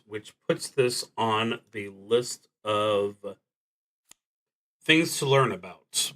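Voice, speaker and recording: Slow, halting man thinking aloud. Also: mid-range voice, fairly bright tone, poor audio. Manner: hesitant, halting, reflective. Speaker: streamer playing solo tabletop roleplaying games. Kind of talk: unscripted play-through commentary, thinking aloud.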